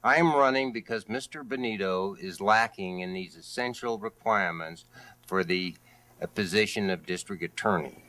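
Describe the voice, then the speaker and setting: A man speaking as a candidate in a political debate, with a halting delivery full of hesitations. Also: on the low side, pushed and bright, rough recording. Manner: halting, hesitant delivery with many long pauses. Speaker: candidate for district attorney in a debate.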